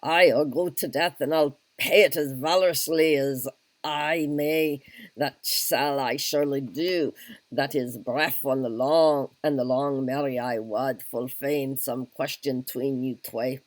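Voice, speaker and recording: A man's voice: mid-range voice, steady tone, measured pace, dry recording, close to an everyday voice. A man voicing a good-natured Scottish army captain who speaks in old-fashioned oaths, in a solo reading of a stage play. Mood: hearty.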